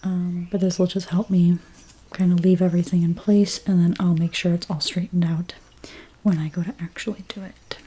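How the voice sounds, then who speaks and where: A woman doing a soft-spoken ASMR role play as a dressmaker, gentle and unhurried, her breathy voice often slipping into a whisper. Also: lowish voice, little pitch movement. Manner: gentle, unhurried, reassuring explanations to a client. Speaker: ASMR creator role-playing a dressmaker at a fitting.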